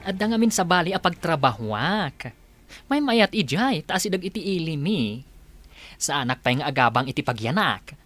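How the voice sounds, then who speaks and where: Woman acting an animated, outspoken friend. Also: deep voice, normal speed, exaggerated voice. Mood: casual, friendly. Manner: exclamatory, theatrical. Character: dramatic, outspoken friend who dotes on a baby. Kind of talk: Ilocano audio drama acting.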